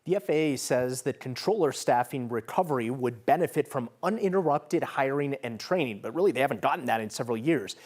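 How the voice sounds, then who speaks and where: A man's voice: mid-range voice, animated, brisk, few pauses, a little mellow, dry recording. An American man delivering a broadcast news report as a TV reporter.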